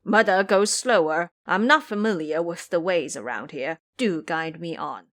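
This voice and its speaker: A woman acts out a foolish pig-monster bridegroom from an audio drama. He is eager and greedy, blustering and pleading in loud exclamations with a lively, swinging pitch. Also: a little slow. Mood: flustered.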